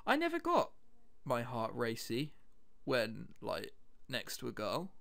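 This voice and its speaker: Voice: mid-range voice, huge pitch swings, takes it slowly, gravelly, a bit bright. A let's-player of unclear sex giving casual commentary and reading a visual novel's text aloud, with wry asides. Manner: self-interrupting, wry joking asides. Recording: music behind the voice. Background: game music.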